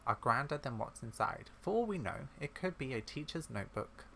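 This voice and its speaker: A performer voicing a bookish, grumbling keeper of an ancient library in a measured, formal, musing manner. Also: mid-range voice, big pitch swings, vocal fry, a bit bright, slight background noise.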